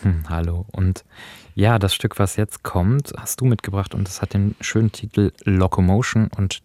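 A man's voice: deep voice, fairly even intonation, moderate pace, a little mellow, dry recording. A man co-hosting a radio programme, explaining and analysing in a reflective conversational way. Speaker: radio show co-host. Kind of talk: reflective two-person conversation about radio drama.

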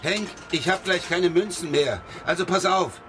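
Clear street-like noise surrounds a fairly high male voice. a desperate ex-cop in a radio crime play, urgent and pressing. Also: normal speed, fairly bright tone. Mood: urgent, grim. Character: desperate Dutch ex-policeman in trouble, urgent, pressing. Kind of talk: German radio crime drama acting.

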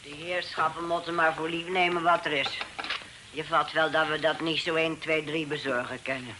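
Grumbling household servant played by a man, period radio drama: slightly high voice, chatty and colloquial, heavy noise behind. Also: level tone, normal speed, close to an everyday voice. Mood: chatty, apologetic. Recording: very noisy old radio play, clattering dishes.

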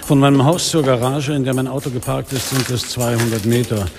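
An actor playing a weary, sardonic middle-aged police inspector in a noir radio drama, speaking drily and broodingly. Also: male voice, medium pitch, fairly even intonation, brisk, close to an everyday voice. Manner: dry, sarcastic, brooding. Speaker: actor, first-person narrator in a radio play. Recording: studio radio drama with music underneath.

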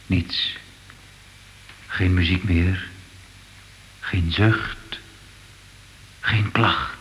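An actor playing a sober, thoughtful art investigator in a radio drama, speaking in a measured, pondering way. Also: male voice, sits low, fairly even intonation, fairly bright tone, heavily acted. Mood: intrigued. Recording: echoey, faintly noisy old radio play.

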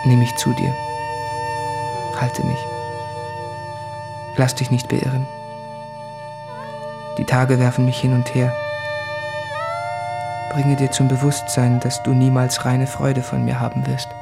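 Anguished, self-doubting male writer, creaky mid voice, intimate radio-play monologue over music. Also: medium pitch, monotone, takes it slowly, long pauses. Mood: anguished longing.

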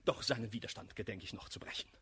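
An actor playing a jealous, hot-tempered, lovelorn gentleman in a classical verse radio comedy, declaiming with impassioned, volatile delivery. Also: lowish voice, fast talker, husky. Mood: resolute.

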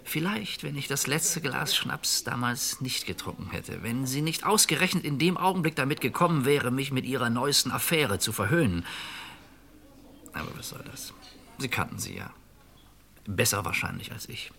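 An actor playing a calm, resigned middle-aged fugitive in an old radio crime drama, measured and reflective. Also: male voice, mid-range voice, gravelly. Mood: bitter, regretful.

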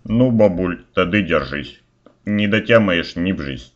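A man's voice: deep voice, expressive, a little slow. A man playing a simple, stubborn, cheeky young village lad who banters in folksy rhyme for a fairy-tale audio play, recorded a little muffled. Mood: cheeky challenge.

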